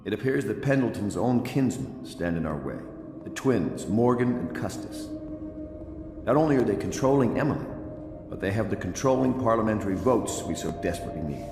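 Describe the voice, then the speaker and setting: Grave loyalist leader, deep, animated pitch, formal oratory, resolute, video game dialogue. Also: male voice, a little fast, music in the background.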